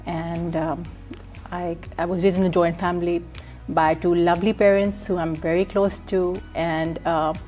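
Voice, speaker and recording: Soft-spoken woman from Pakistan, lower voice. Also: flat delivery, normal speed, soft background music. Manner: soft-spoken, warm, frequent ums and mm-hmms. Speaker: guest, entrepreneur. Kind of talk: casual interview.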